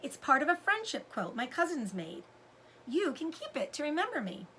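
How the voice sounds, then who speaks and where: A woman's voice: high voice, big pitch swings, normal speed, fairly bright tone, a little hiss or hum, voice pushed up. A woman voicing a kind, playful pioneer boy in a storybook.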